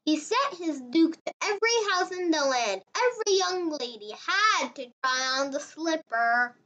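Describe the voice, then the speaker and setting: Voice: extremely high pitch, expressive, unhurried, sharp tone, dull audio. A child reading a children's fairy tale aloud, word by word like a beginner reader. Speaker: story reader.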